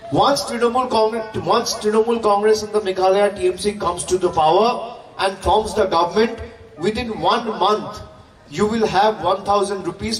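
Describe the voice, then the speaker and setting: A man with an Indian accent giving an emphatic, repetitive political stump speech at a public rally. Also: high voice. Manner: emphatic, repetitive, with rhetorical questions. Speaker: political campaign speaker. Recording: distant, echoey, noisy public-address sound.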